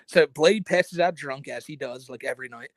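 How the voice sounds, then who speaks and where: A man's voice: mid-range voice, normal speed, no room sound. A man co-hosting a podcast, telling stories in casual chat with lots of filler words and frequent laughter. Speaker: podcast co-host.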